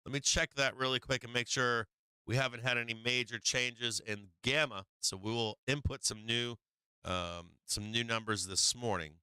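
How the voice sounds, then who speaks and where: A man hosting a live stock market stream, talking viewers through charts in a rambling, casual way, his voice going a little hoarse. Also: mid-range voice, moderate pace, pushed and bright, no echo. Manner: rambling, talks to viewers while walking through charts, many fillers. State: losing his voice.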